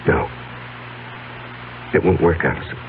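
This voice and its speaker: An actor playing an anguished, desperate ex-convict living under a false identity in a 1970s radio drama, agitated and halting. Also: male voice, natural voice. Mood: grim, agitated. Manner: agitated, halting, emphatic delivery. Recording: lo-fi vintage radio, thin, noisy hum.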